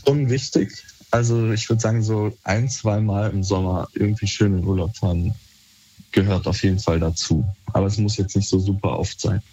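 A man's voice: on the low side, steady tone, normal speed, mellow, soft background music. A young man from Berlin answering questions in a laid-back, colloquial chat, on slightly muffled audio. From Germany, Berlin. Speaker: interviewee. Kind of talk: casual chat.

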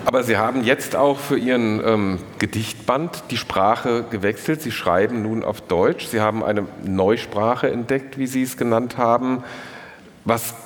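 Formal male moderator in a hall. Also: medium pitch. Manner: measured, formal. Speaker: interviewer, moderator. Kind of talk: prepared interview questions. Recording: long reverb of a hall.

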